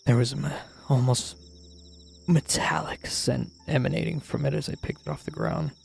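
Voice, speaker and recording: A man playing a troubled, guilt-ridden narrator confessing a grim childhood memory in a brooding, dramatic horror-story style, with a gravelly, husky voice. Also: medium pitch, sing-song, moderate pace, darkish tone. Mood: dark, intense. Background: music.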